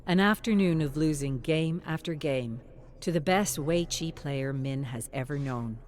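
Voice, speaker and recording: Mid-pitched narrator reading a scripted story. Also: measured pace.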